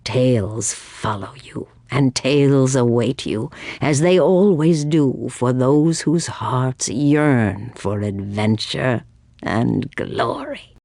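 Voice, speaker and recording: A wise old female seer from a fantasy game. She speaks very slowly and portentously, her solemn, mystical delivery captured close and dry. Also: very deep voice, mellow, no room sound, full character voice. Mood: solemn, prophetic. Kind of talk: scripted fantasy video game acting.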